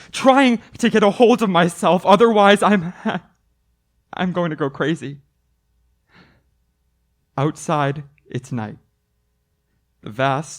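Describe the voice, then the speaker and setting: A man's voice: mid-range voice, lightly acted. A man playing a haunted, frightened young traveller in a suspense radio play, dramatic and prone to stammering. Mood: frightened, frantic. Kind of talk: old-time suspense radio play acting.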